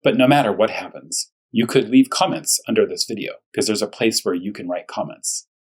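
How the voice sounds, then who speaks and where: A male primary school teacher with a slightly low, rough-edged husky voice leads a storytime for young children. He speaks in plain, short phrases and often repeats words so that little listeners can keep up. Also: brisk. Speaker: first grade teacher reading aloud. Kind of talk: storytime read-aloud and discussion for young children.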